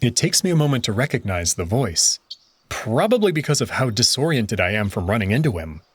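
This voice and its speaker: A man narrating a visual novel in first person with an expressive, conversational delivery. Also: medium pitch, brisk, husky, no echo.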